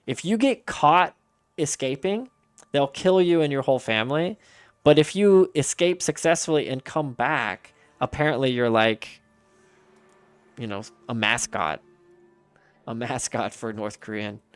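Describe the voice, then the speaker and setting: Smooth-voiced chatty male game streamer. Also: mid-range voice, a little slow, dry recording, soft background music. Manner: chatty, reads chat aloud and answers, rambling restarts. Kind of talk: casual live-stream chat with viewers.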